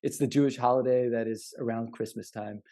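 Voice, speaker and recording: A man co-hosting a casual podcast, speaking earnestly and haltingly, often repeating words as he thinks. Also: medium pitch, fairly even intonation, warm tone. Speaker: podcast co-host.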